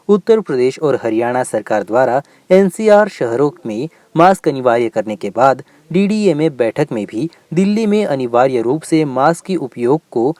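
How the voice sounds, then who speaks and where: A man's voice: medium pitch, a little mellow, no room sound. A man delivering scripted news narration as a voice-over reporter.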